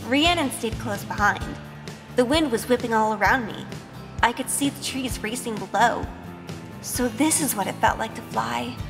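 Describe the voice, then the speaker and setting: A woman's voice: on the high side, moderate pace, long reverb tail, over music, lightly acted. An actress playing a sheltered, good-hearted young woman turned witch, narrating her own audio drama story with wonder. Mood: wonder-struck. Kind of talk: first-person audio drama narration with in-scene dialogue.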